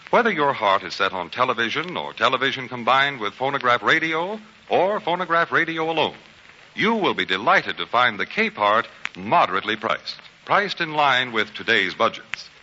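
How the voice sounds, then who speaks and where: An old, narrow-band 1940s radio recording of an American male announcer. He is very bright and forceful, formal and emphatic, with a lively, swinging delivery. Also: mid-range voice, a little hiss or hum.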